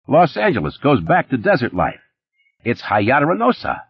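A twitchy, boastful playboy, put on by a male narrator, his voice jumping up high and swinging all over as he rushes his words. The husky tone stays dry and close, with a slightly dull, muffled sound. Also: huge pitch swings, no echo, exaggerated voice. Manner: rapid, nervous, boastful, pitched high and pushy. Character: jittery fast-talking male nightclub playboy, sleazy.

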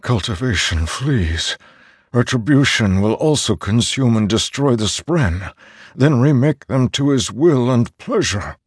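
A man voicing an aged, weary immortal herald in an audiobook, with a solemn, deliberate and strained delivery. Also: mid-range voice, animated, slightly rough, no echo, clean studio sound, pitched above the performer's own voice. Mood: anguished, grave.

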